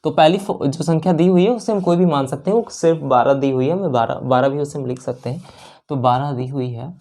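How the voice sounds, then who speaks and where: A man giving an exam-prep maths lesson as a voice-over, with a mellow, warm tone. He talks each sum through step by step, repeating lines and checking in often. He drops English terms and numbers into his explanations. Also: fairly high pitch, a little fast. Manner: works sums aloud step by step, mixing English terms and numbers into the talk. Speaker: maths teacher. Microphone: voice-over of a screen lesson.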